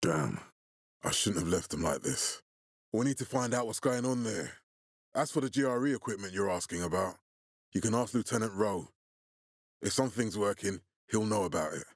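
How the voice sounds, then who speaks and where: A man voicing a grave, calculating militia commander in a video game, with a husky, authoritative and deliberate delivery. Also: on the low side, animated, no echo, studio quality. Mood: controlled, serious. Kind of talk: video game character dialogue.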